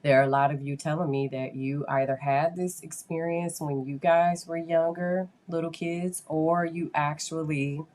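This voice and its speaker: Low-voiced congested nasal woman. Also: flat delivery, moderate pace, few pauses, darkish tone, a little hiss or hum. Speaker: podcast host, school psychologist. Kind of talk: casual live podcast chat.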